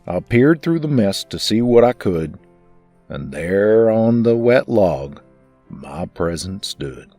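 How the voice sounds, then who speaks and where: A man doing a deep husky big brother pony. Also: sing-song, takes it slowly, dark tone, no room sound, faint music behind, exaggerated voice. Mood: calm storytelling. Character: big brother farm pony telling a holiday tale. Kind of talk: rhyming storybook narration.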